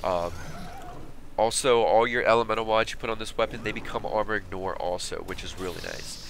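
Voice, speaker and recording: A man with a stuffed-up cold, giving relaxed, chatty commentary over game footage for a weapon build video. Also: on the low side, a little hiss or hum. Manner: relaxed, chatty, with fillers and quick asides. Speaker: gaming YouTuber. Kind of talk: casual gameplay commentary.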